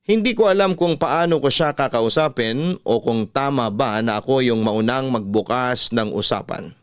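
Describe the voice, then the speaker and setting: A man's voice: medium pitch, clear, resonant tone, dry recording. Casting: male Filipino radio storyteller, medium register, reading letters as host, dry and close. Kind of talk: scripted narration, classic radio storytelling.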